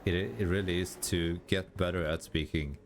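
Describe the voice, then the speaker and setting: A Swedish man, a gaming YouTuber, talking casually in halting, self-correcting sentences and laughing at himself over his English pronunciation. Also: low voice, steady tone, faint music behind. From Sweden. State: tired. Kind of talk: casual commentary while gaming.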